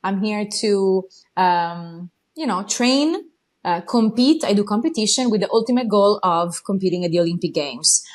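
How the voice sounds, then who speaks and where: An eager woman with an Italian accent, talking as a podcast guest. She chats in a loose, excited way, full of fillers and restarts. She is a native Italian speaker. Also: medium pitch, normal speed. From Italy. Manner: enthusiastic, many fillers and restarts. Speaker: guest, horse trainer. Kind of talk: casual interview talk.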